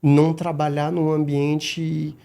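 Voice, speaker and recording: Man, middle-aged, gravelly, mellow dark tone, halting casual talk. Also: a little high, little pitch movement. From Madagascar. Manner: halting, with frequent restarts and repeated words. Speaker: podcast guest.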